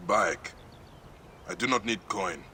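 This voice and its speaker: A man's voice: deep voice, lively intonation, slow, sharp tone, a little hiss or hum, heavily acted. A man voicing a grim, terse Egyptian warrior-assassin in a game cutscene, speaking curtly and with menace. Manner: curt and menacing.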